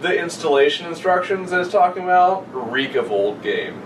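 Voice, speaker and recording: A man's voice: a little high, sing-song, normal speed. A young man, a podcast guest, bantering casually with blunt opinions and crude jokes. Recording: roomy, distant mic, clear background noise.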